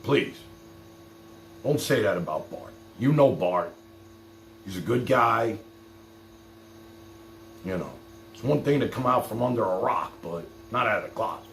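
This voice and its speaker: A man's voice: on the low side, animated, a little slow, pauses often. A man chatting casually as a live stream host, talking in a streetwise, direct way and taking calls. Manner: colloquial, streetwise, direct address. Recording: roomy, noisy live stream.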